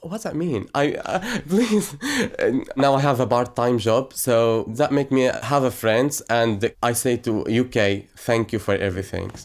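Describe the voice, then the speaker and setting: Young man interviewed, mid pitch, halting English. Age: young adult.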